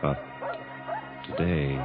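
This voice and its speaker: An actor playing a scientist husband who narrates his own lab mishap in an old radio drama, with lively storytelling. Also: extremely low pitch, drawn-out words, soft background music, full character voice. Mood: narrating. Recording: dull lo-fi radio drama recording with hiss.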